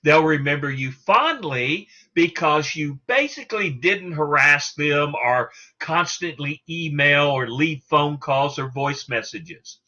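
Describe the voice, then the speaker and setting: A man's voice: on the high side, animated, slow pace, hardly any pauses, pushed and bright. A man giving an instructional talk as a sales coach, emphatic and salesman-like, putting rhetorical questions to the listener. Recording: dull, lo-fi sound.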